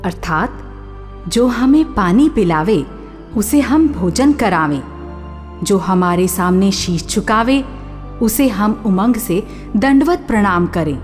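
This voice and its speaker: A woman narrator of a radio drama with a mid-pitched voice and a slow, measured delivery. She explains things to listeners in a scripted, didactic style, with faint music behind her. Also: animated. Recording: soft music under the voice.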